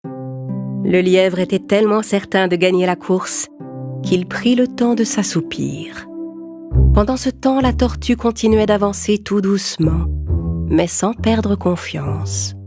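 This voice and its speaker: A woman's voice: medium pitch, takes it slowly. A woman reading a scripted animal fable for children as the narrator. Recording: clear background music under the voice.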